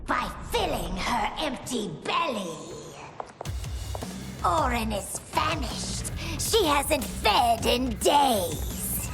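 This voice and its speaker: An actress playing a solemn, deliberate woman in a video game cutscene. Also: fairly high pitch, big pitch swings, creaky, over music, heavily acted. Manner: slow, deliberate.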